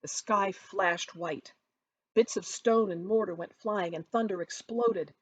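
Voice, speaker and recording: A woman author reading her own novel aloud in an expressive storytelling narration. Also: mid-range voice, measured pace, breathy edge, dull audio.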